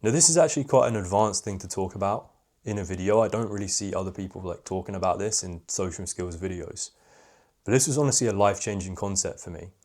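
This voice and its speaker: A young man giving social skills advice in a casual video, candid and reflective, with a fairly monotone voice and many "like" fillers. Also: sits low, quick pace.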